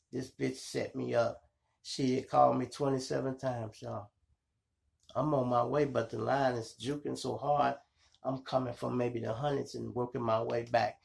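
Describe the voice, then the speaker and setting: A man with a Chicago accent and a gravelly voice, telling street stories casually to his viewers in slangy, profane talk. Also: mid-range voice. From Chicago, United States. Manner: animated retelling, slangy, profane, acts out dialogue and sound effects. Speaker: storytelling podcast host.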